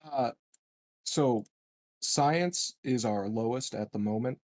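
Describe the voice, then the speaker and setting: A man's voice: medium pitch, slow, a little mellow. A man playing a tabletop roleplaying game, casually arguing his case as a player. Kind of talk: casual game strategy discussion.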